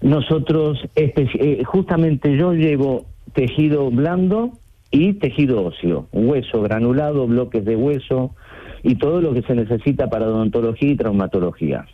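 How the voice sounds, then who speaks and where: A middle-aged man from Argentina, talking as a radio call-in guest in a halting way, often repeating himself. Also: mid-range voice, lively intonation. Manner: halting, repeats words and phrases. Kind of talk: conversational phone interview. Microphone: phone. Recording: tinny phone line into radio show.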